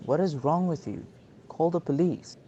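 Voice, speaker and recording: A man's voice: fairly high pitch, moderate pace, dark tone, muffled recording, slight background noise, lightly acted. A young man acting a chatty, flippant husband held hostage in an amateur radio drama. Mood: panicked, indignant. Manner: flippant, talkative, complaining. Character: cheeky, chatty bank hostage and harried husband. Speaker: student actor.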